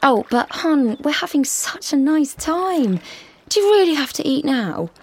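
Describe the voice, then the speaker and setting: A woman's voice: high-pitched, animated, a little slow, darkish tone, pitched above the performer's own voice. A woman voicing a young girlfriend who hesitates and placates in a story reading. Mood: placating. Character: young woman, accommodating, easily upset girlfriend.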